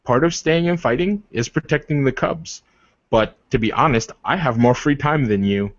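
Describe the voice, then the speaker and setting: A man playing a blunt, exasperated male werewolf in a tabletop game, speaking in a deliberate, halting, emphatic way. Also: mid-range voice, dry recording, highs cut off, voice pushed up, close to an everyday voice. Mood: measured, blunt.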